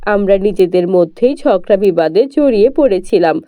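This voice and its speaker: A woman doing humble village folk, lower voice. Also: normal speed, no room sound, lightly acted. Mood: grateful. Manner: humble, grateful, steady. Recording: dull lo-fi dry recording.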